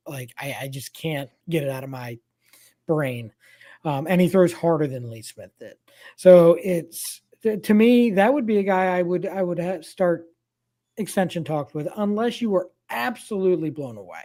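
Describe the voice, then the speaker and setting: A man's voice: on the high side, moderate pace, dark tone. A man hosting a casual sports podcast, leading the chat and passing questions to his co-hosts. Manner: leads discussion, tosses questions to co-hosts. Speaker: podcast host. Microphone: remote streaming mic.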